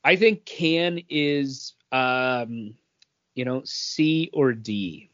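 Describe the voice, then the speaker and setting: A man's voice: medium pitch, very slow, fairly bright tone. A man co-hosting a podcast, casually telling anecdotes. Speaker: podcast co-host. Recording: dull, muffled audio.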